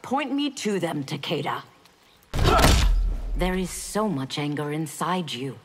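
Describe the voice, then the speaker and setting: A woman's voice: sits low, sing-song, slow, very noisy, full character voice. A woman playing a zealous, solemn demon warrior in a fighting game. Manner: solemn, intense, formal.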